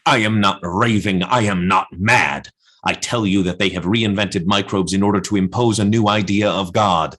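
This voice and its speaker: A man playing a defiant, obsessive poet-prophet, declaiming a blasphemous radio-play monologue with solemn, deliberate insistence. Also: low voice, steady tone, fairly bright tone. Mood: fervent, insistent. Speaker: actor in an adapted radio play.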